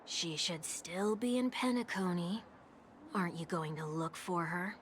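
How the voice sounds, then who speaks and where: A woman's voice: on the low side, measured pace, a little hiss or hum, lightly acted. A woman voicing a small, teasing, sarcastic video game character with a theatrical, mischievous manner. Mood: sly, mocking. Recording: background music under dialogue.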